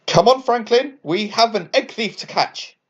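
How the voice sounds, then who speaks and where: A man voicing a busy, excitable female witch who brews potions in a children's bedtime story. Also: high voice, normal speed, highs cut off, pitched above the performer's own voice. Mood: excited. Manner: excitable, bright-spirited.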